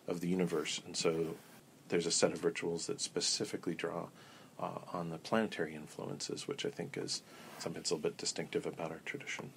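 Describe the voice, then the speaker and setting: A man answering interview questions in a measured way, pausing often with ums and uhs. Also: deep voice, slight background noise. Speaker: interview guest on a pagan tradition. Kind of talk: thoughtful casual interview answers.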